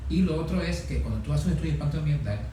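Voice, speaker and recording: A man gives halting explanations as an interview guest, at a medium pitch. He is recorded from away from the microphone in a very echoey room, with clear noise behind him. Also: expressive, warm tone. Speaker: interview guest, environmental expert. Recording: very echoey, distant microphone, noisy background.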